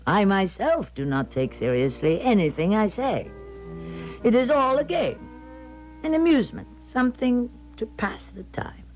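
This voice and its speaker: An actress playing a grave, haunted older female clairvoyant, narrating a 1970s radio drama in a measured, solemn way. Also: mid-range voice, sing-song, close to an everyday voice. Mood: grave, resigned. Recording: dull 1970s radio broadcast, music behind.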